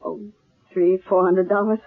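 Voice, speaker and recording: An actress playing a gullible, anxious young wife, hesitant and pleading, in an old-time radio drama. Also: female voice, fairly low pitch, steady tone, moderate pace, soft background music, natural voice. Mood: anxious, trusting. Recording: thin, narrow-band old radio recording.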